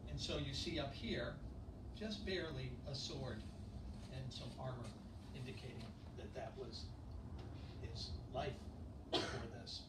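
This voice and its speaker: A middle-aged man preaching a conversational sermon, chatty and relaxed, asking his congregation questions as he walks around the room. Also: mid-range voice, heavy background noise. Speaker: pastor. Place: church fellowship hall, indoors. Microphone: handheld mic, filmed from far back. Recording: echoey room from far off.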